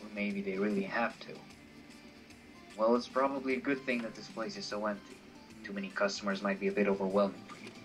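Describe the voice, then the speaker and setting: A man voicing a calm, dry-witted, worldly male companion in a visual novel, speaking in a measured, understated way. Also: lowish voice, natural voice. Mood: calm, probing. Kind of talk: amateur visual novel voice acting. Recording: faint music under the voice. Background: faint music.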